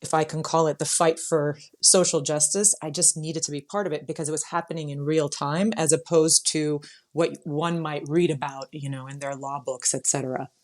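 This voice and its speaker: An American woman guest speaking earnestly and thoughtfully in an interview, pausing with fillers. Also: low voice, steady tone. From United States. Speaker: guest, lawyer and founder. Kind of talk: casual interview answers.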